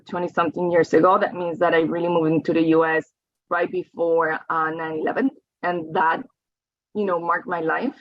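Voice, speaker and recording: A woman's voice: lowish voice, dry recording. A woman, giving a reflective talk over slides and often checking in with "right?" and "you know". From Colombia. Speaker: guest speaker. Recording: dry, slightly dull audio.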